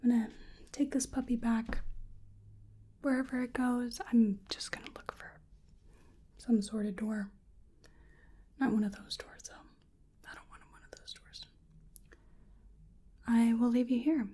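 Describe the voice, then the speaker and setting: A woman doing soft-spoken ASMR roleplay, largely whispering, gently and hesitantly murmuring to the listener in a breathy voice. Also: on the high side, fairly even intonation, measured pace, pauses often.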